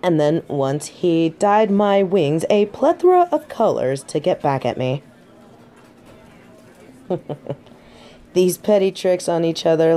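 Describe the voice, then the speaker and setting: A woman's voice: fairly low pitch, mellow. A woman playing a snobby, centuries-old fallen angel in denial, speaking in formal, pompous phrasing in a scripted audio roleplay. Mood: fond, reminiscing. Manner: formal, precise, pompous diction. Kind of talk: scripted character roleplay monologue.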